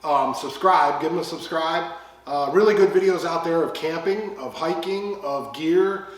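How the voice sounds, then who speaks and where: A chatty, rambling middle-aged man from the United States hosts a podcast, his voice a touch higher than most men's. He sounds distant from the mic, in a very echoey room. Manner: chatty, rambling, quick to laugh along. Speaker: podcast host. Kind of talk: casual podcast chat.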